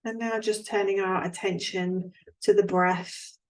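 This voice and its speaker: A woman leading a guided relaxation as an instructor, speaking in a calm, soothing way with long, unhurried pauses. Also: medium pitch, low-quality recording.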